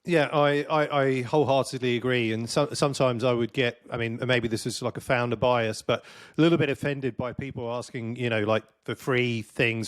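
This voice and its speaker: Man in his normal voice, host of a chat show, casual and conversational. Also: medium pitch. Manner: relaxed, conversational, lots of fillers. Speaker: podcast host. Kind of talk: casual interview hosting.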